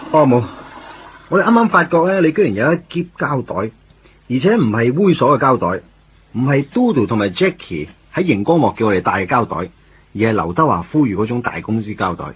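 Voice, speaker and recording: A man's voice: mid-range voice, sing-song, brisk, slight background noise, close to an everyday voice. An actor playing a sarcastic young man who narrates his own story in a Hong Kong Cantonese radio drama, with dry, teasing quips. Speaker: lead character and narrator. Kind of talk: 1990s radio drama acting. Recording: dull lo-fi radio recording, faint music.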